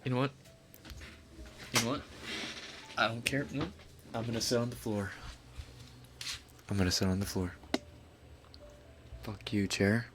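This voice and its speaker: A man chatting casually and softly on a late-night ASMR live stream, laid-back and swearing now and then. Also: medium pitch, measured pace, long pauses, over music.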